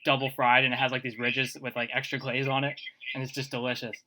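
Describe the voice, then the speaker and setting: A man's voice: medium pitch, level tone, fast talker, bright, forceful tone. A man hosting a casual podcast, telling stories in a rambling way full of "like" and filler words. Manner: rambling, filler-heavy storytelling with laughs. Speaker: podcast host. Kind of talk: casual group podcast chat.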